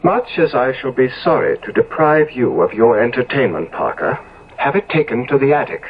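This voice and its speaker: An actor playing a dissolute young London gentleman in a 1970s radio drama, evasive, clipped and haughty. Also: medium pitch, very animated, normal speed, lo-fi sound. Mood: imperious, agitated. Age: young adult. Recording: thin, hissy old radio recording.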